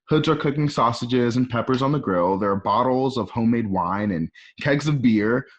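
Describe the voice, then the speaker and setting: A man's voice: medium pitch, lo-fi sound. A man reading screenplay stage directions aloud as the narrator of a radio play. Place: at home.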